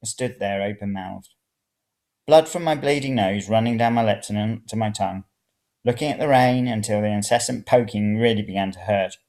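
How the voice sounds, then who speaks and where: A narrator reading first-person serial fiction in an expressive, dramatic way. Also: medium pitch, normal speed. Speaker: story reader.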